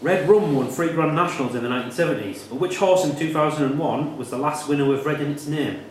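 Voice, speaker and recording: A man's voice: on the high side, quick pace. A man co-hosting a quiz, casually announcing scores and calling on contestants. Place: echoey room at a cricket club. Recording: very echoey room, faint noise.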